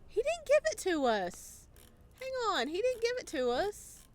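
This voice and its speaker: A woman's voice: very high voice, huge pitch swings, measured pace. A woman narrating a visual novel playthrough as a gaming creator, with playful commentary.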